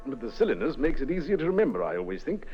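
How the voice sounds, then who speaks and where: An actor playing an eccentric upper-class English spymaster captain in a 1950s radio drama, husky, clipped and chatty, forever adding little tag questions. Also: male voice, mid-range voice, big pitch swings, racing, music in the background, close to an everyday voice. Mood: jaunty. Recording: dull vintage radio recording.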